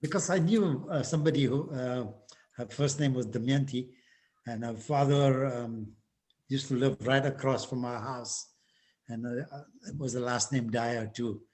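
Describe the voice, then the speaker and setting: A man's voice: medium pitch, steady tone, normal speed, a little mellow. A man from Zambia, a participant on a video call, asking questions hesitantly with many ums and uhs. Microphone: video call microphone.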